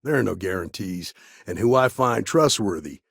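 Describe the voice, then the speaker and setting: Tired space lawman for a game, husky n gravelly, kinda low, stern and guarded. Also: animated, moderate pace, no room sound, clean studio sound. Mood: weary, earnest. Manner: guarded, stern, plain-spoken. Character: world-weary, dutiful frontier ranger lawman in a sci-fi city. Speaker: video game character actor. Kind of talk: naturalistic video game dialogue acting.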